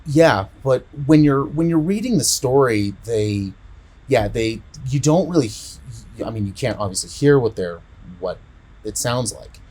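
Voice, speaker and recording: A man in casual podcast chat, retelling a story in a rambling, halting way and often repeating words. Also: mid-range voice, lively intonation, darkish tone. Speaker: podcast co-host.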